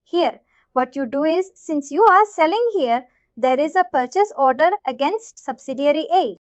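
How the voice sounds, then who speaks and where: High pitched lady trainer explaining step by step, repeats stuff, checks you get it, kinda muffled lo-fi sound. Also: animated, moderate pace, dry recording. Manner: repetitive, checks understanding often. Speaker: software trainer. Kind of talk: tutorial explanation to learners.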